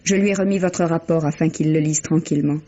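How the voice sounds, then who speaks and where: A woman's voice: deep voice, fairly even intonation, quick pace, dark tone. An actress playing a gracious, composed lady hostess in a vintage French radio mystery, polite and well-spoken. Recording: dull vintage radio recording, faint hiss.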